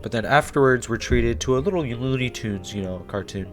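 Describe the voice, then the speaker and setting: A man's voice: mid-range voice, lively intonation, fairly quick, soft background music. A man hosting a YouTube explainer in a casual, irreverent, conversational way. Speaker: YouTube host. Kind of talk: casual horror series explainer.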